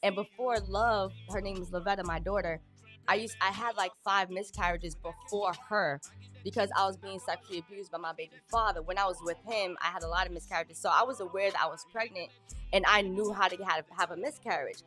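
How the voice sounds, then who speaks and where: A woman in her thirties telling her life story in an interview, candid and plain-spoken, with halting restarts. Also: mid-range voice, bright, forceful tone, faint music behind. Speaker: guest. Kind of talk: personal testimony in an interview.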